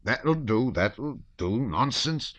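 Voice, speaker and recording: A man voicing an excitable, patriotic older nobleman and father who blusters loudly. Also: medium pitch, slow, dry recording, voice pushed up. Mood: excited blustering.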